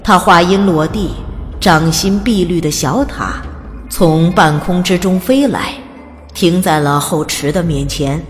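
A woman narrating a fantasy audiobook in an even, storytelling delivery. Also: lowish voice, a little slow. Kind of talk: scripted narration. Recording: long reverb.

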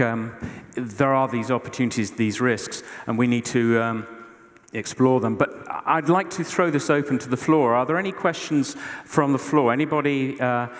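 A man with a medium-pitched voice moderates a conference panel. He is polite and orderly as he directs the discussion. His voice rings out in a large reverberant hall.

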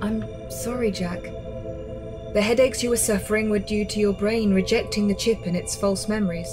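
Actress as blunt rebel medic lady, calm and factual, radio play with music behind. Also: mid-range voice, moderate pace, lightly acted. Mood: blunt, sober. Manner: matter-of-fact, blunt, explanatory. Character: blunt, composed female resistance medic. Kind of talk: radio drama acting.

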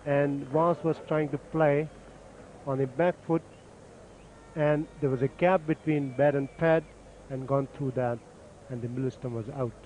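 A man calmly explaining each delivery as the expert summariser on a cricket broadcast. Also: mid-range voice, measured pace, narrow, tinny audio, slight background noise. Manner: calm, explains deliveries technically. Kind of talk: analytical commentary.

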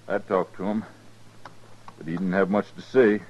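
Low-voiced, wary cowboy. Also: male voice, fairly even intonation, normal speed, a little mellow. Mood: grim. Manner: folksy, laconic frontier talk. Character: wary, grim cowboy rider. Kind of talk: 1950s radio drama acting. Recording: muffled, noisy 1950s radio recording.

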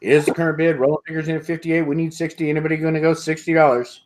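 A middle-aged man hosting an online auction, calling bids in a steady repetitive rhythm and laughing often, recorded through an earbud headset on a video call at home. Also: a little high, racing, rough recording. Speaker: auctioneer host. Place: home room with sports memorabilia.

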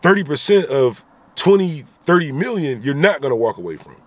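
A man's voice: fairly high pitch, lively intonation, fairly quick. A man in his thirties talking animatedly into a phone camera inside a car, giving his opinions in a vlog with repeated rhetorical asides. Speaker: vlogger. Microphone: phone camera at arm's length.

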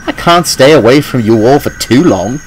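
A man's voice: mid-range voice, lively intonation, moderate pace, slight background noise, natural voice, low-quality recording. A man voicing a kind, cheerful young woman who cleans engines, with an affectionate teasing manner. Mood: warm, teasing.

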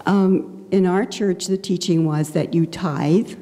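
An older woman reflects on her own life in an interview. Her voice is soft-edged and mellow, a little on the low side. She speaks into a handheld mic in a reverberant church. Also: fairly even intonation, takes it slowly. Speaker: church member being interviewed. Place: indoor church sanctuary. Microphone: handheld microphone. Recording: reverberant hall, handheld microphone.

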